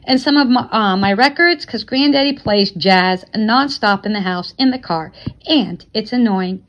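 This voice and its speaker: A woman's voice: medium pitch, normal speed, fairly bright tone, dull audio, close to an everyday voice. A woman voicing an eager young boy with a chatty, pleading manner in a storybook read-aloud.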